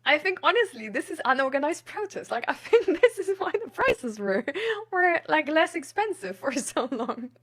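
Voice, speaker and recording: A woman's voice: sits high, big pitch swings, moderate pace, fairly bright tone. A woman in casual, rambling chat, thinking aloud in an informal way.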